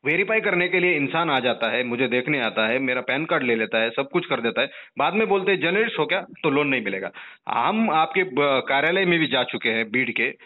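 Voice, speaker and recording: A man's voice: medium pitch, animated, a little fast. A man making an insistent, indignant complaint as a journalist over the phone, with repeated pointed questions. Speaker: journalist caller complaining to a call centre. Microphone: telephone. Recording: muffled narrow-band phone call recording.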